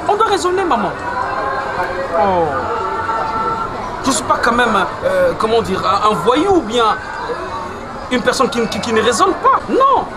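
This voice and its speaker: A man's voice: extremely high pitch, very animated, unhurried, long pauses, over a noisy crowd, heavy background noise, exaggerated voice. A man playing an earnest broke boyfriend. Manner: earnest, hesitant, searching for words. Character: broke young man pleading with his girlfriend.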